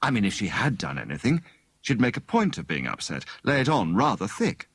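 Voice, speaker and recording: A genial young gentleman detective from a storybook, played by a male narrator. His voice is fairly low and breezily conversational, with lively intonation. It is recorded dry and close. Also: brisk, slightly rough, dry recording, exaggerated voice. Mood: considering.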